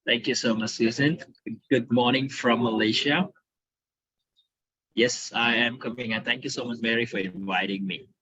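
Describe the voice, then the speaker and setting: Middle-aged man from India, husky voice, earnest storytelling trainer, dull online-call sound. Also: mid-range voice, fairly even intonation, normal speed, sharp tone, no echo. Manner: earnest, storytelling with rising emphasis. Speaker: guest speaker. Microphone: video call.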